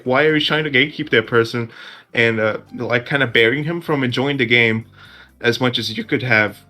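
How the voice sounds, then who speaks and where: Bright-voiced man. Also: medium pitch. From Brazil. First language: Portuguese. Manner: earnest, with restarts. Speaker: podcast co-host. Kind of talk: casual chat.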